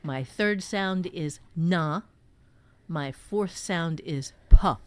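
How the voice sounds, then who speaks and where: A woman's voice: lowish voice, drawn-out words, clear, resonant tone, no room sound. A woman teaching writing in a conversational way, explaining step by step with emphasis as a co-host.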